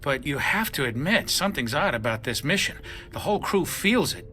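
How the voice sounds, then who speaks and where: An actor playing an earnest, uneasy ship's officer in a video game, respectful but questioning and suspicious. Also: male voice, a little high, big pitch swings, a little fast, sharp tone, soft background music.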